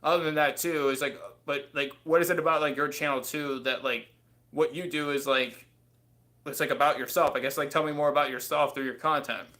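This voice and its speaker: Fast rambling man on a livestream. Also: on the high side, steady tone, pushed and bright. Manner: rambling, many fillers and "too". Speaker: livestream host. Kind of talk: casual livestream chat. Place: small bedroom indoors. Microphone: webcam on desk, close.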